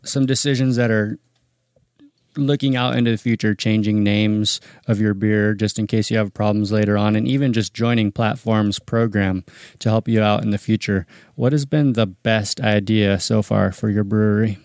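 A man's voice: on the low side, normal speed, no echo, clean studio sound. A man interviewing a brewery founder for a podcast, asking loose, casual questions. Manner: relaxed, loose phrasing with fillers.

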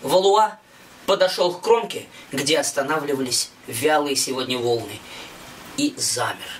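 A man's voice: mid-range voice, measured pace, background noise. A middle-aged man narrating his own scripted story in a dramatic, emphatic, theatrical way. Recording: music behind a slightly distant voice.

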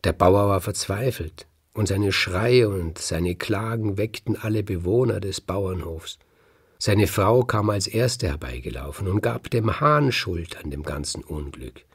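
Low, gravelly, unhurried male storyteller. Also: lively intonation. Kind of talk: storytelling, narrated folk tale.

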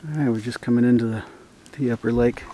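A man's voice: mid-range voice, quick pace, rough recording. Man, casual outdoor vlogger, rambling and easygoing, camera mic outdoors with faint background noise. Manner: relaxed, rambling, many fillers. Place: outdoors on a lake in a canoe.

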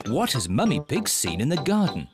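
A man with a British accent narrating a preschool cartoon in a clear, simple storytelling manner. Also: medium pitch, huge pitch swings, moderate pace, over music. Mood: bright storytelling.

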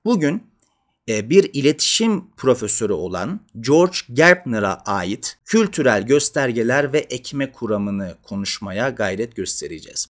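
A man's voice: mid-range voice, big pitch swings, moderate pace. A man, hosting a conversational educational talk on social science with emphatic phrasing. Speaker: host of a social science channel. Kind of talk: conversational educational lecture to camera. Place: indoor studio with dark curtain backdrop.

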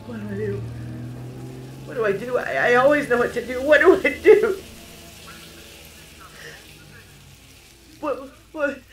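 An actress playing a tough, desperate fugitive, telling her story in an intense, halting, urgent way in an audio drama. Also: high voice. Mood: distraught. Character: tough, desperate female fugitive narrating a sci-fi heist. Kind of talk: dramatic first-person audio drama narration and dialogue.

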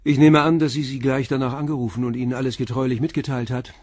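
A man plays a measured police inspector in a radio crime drama. He questions a suspect calmly and politely, his husky voice recorded close and dry at a middle pitch. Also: no room sound, close to an everyday voice. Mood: probing. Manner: measured, probing, polite interrogation. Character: male police inspector, calm, probing questioner. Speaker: detective. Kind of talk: radio play acting.

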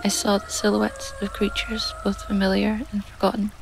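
Woman as a flat, creaky entranced dreamer. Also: medium pitch, moderate pace, noisy background, close to an everyday voice. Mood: detached, dreamlike. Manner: monotone, detached. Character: entranced dreamer recounting an apocalyptic ocean vision. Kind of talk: scripted horror narration. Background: music bed.

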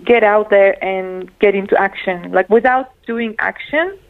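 A woman's voice: mid-range voice, a little slow. A woman from Slovakia, a guest speaking over a call, enthusiastic and laughing often. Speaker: guest, live show host. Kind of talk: casual interview on a call. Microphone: remote call. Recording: phone-like remote call line.

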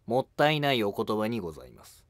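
A man playing an obsequious informant at an ancient Japanese court, humble and formal, in a historical animated drama. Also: on the low side, quick pace, no echo, clean studio sound. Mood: respectful, earnest.

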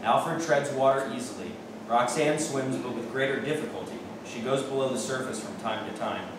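A man's voice: medium pitch, normal speed. A man giving scripted narration as the reader of stage directions at a play reading. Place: wood-paneled mansion room. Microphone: distant camera microphone. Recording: very echoey room, distant mic, clear noise.

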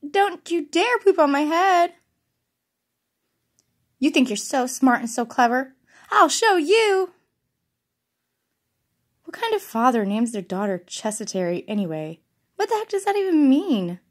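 Woman acting a high-pitched cartoon magical heroine. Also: very animated, a little slow, long pauses. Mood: indignant, rambling. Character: excitable, clumsy young heroine with magic water powers. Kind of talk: amateur cartoon voice audition.

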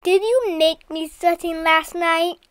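A child playing an excitable young boy in a dramatic storybook reading. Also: very high-pitched, measured pace, no room sound, clean studio sound. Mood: excited, wondering. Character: Buzz, excitable young boy with pet fly.

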